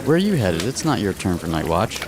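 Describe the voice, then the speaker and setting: A man playing a loyal, dry-witted sergeant major in a radio drama, laconic and deadpan. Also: sits low, brisk, background noise. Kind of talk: post-apocalyptic radio drama acting.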